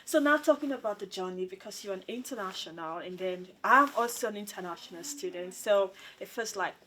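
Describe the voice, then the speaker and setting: A woman's voice: mid-range voice, fairly quick, sharp tone, slight background noise, rough recording. Young female student host, chatty informal interviewer, mid-range voice, repeats points for emphasis. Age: young adult. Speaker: student union president hosting an interview.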